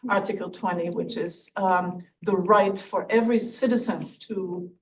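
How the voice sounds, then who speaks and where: A woman's voice: lowish voice, steady tone, normal speed. German-accented woman asking from the audience. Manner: hesitant, roundabout, polite. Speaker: visiting fellow, audience questioner. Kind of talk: academic question and comment from the audience. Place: lecture room audience. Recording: distant mic, faint room noise.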